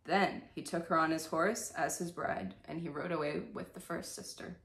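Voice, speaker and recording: Young female storyteller, lowish voice, theatrical reading with irreverent casual asides, roomy bedroom sound. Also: fairly even intonation, normal speed, fairly bright tone. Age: young adult. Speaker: storyteller and video host. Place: indoors, small bedroom. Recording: echoey room.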